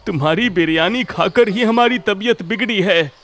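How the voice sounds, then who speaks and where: A man's voice: high voice, normal speed, fairly bright tone, faint music behind. A man playing an aggrieved village man in a cartoon story, exclamatory and complaining. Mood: accusing.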